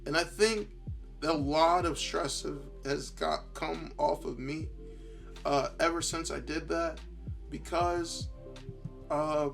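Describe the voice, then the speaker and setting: A man hosting a solo podcast in a tired, worn voice, thinking aloud casually and haltingly. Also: fairly high pitch, gravelly, fairly bright tone, soft background music. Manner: halting, rambling, self-reflective. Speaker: podcast host.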